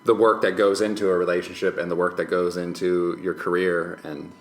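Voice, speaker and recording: An American man talks in a relaxed, conversational style as a guest. He pauses and searches for words as he goes. The room adds a noticeable echo to his voice. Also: deep voice. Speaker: podcast guest, musician. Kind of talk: casual chat.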